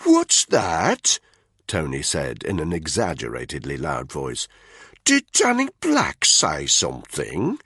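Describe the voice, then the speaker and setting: A brash unit commander who sneers and mocks everyone around him, as a male narrator puts him on. The voice is gravelly and husky, and the pitch swings a lot. A man reads him crudely and scornfully. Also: wildly swooping pitch, unhurried, bright, forceful tone, no echo, studio quality, exaggerated voice. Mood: mocking.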